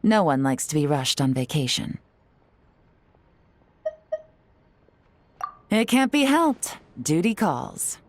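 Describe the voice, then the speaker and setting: A woman's voice: sits low, very animated, measured pace, no echo. A woman playing a poised, worldly young female intelligence agent in a video game, suave and playfully sly. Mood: content, resigned. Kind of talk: video game character dialogue. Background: music.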